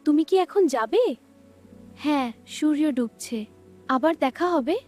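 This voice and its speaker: Cartoon child role, played by a woman: high-pitched, curious, wide-eyed wonder, music behind. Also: animated, slow, darkish tone. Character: curious young children and gentle mermaid in cartoon. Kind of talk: scripted children's cartoon dialogue.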